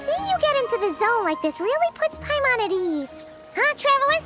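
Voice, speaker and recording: A woman voicing a tiny, chirpy floating companion in a video game cutscene, excitable and bubbly, over background music. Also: very high-pitched, normal speed. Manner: bubbly, excitable, talks about herself in third person.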